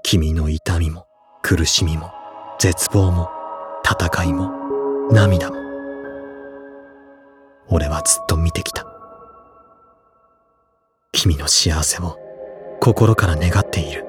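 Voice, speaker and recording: Serious broody high school boy, really deep voice, measured, drama cd with music under it. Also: steady tone. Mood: brooding, solemn. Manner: solemn, measured delivery. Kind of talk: Japanese audio drama acting, inner monologue and dialogue.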